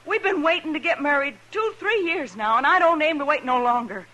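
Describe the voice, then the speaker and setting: A woman's voice: high voice, lively intonation, fairly quick, pushed and bright, poor audio. An actress playing a stubborn, defiant frontier woman with a rural American Western accent, curt and combative, in a radio western. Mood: bitter, defiant. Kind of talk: 1950s western radio drama acting.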